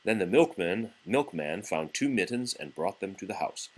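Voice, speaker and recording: A man reads a children's book aloud in a low, husky voice. His delivery is very animated and expressive, yet patient and encouraging, as if for a small child beside him. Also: moderate pace. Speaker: parent reading a picture book aloud to a young child. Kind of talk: storybook read-aloud.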